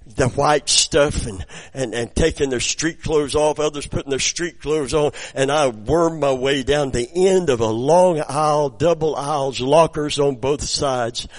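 A raspy-voiced preacher, middle-aged, telling stories from his week in a sermon. His voice sits a little high for a man, and he drops to a murmur before rising to loud, ringing praise. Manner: swings between quiet storytelling and loud exclamations. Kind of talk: sermon with personal anecdotes.

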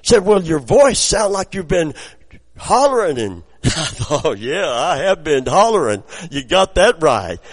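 A middle-aged man preaching a sermon, his voice raspy from preaching, moving between quiet storytelling and loud exclamations. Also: fairly high pitch, big pitch swings, a little slow. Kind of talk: sermon with personal anecdotes.

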